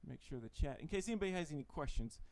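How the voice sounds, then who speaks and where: A man with a Texan accent hosting a casual livestream, relaxed and chatty, laughing often. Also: fairly high pitch, rapid-fire, no room sound. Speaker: host.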